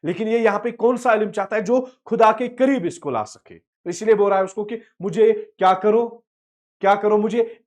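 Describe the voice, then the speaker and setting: High-voiced male teacher explaining a poem. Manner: reads each English line slowly, then explains at length; drops low and soft at the end of each prayer line. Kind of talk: line-by-line poem explanation lecture.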